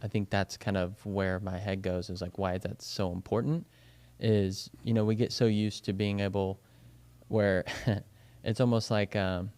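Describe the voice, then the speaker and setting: A young man with a smooth, clear voice sitting a little low in pitch. He talks in loose, rambling podcast chat, leaning hard on "you know" and "like" as he works out his thoughts as a coach. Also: little pitch movement, measured pace, a little mellow. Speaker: baseball and softball coach, podcast co-host.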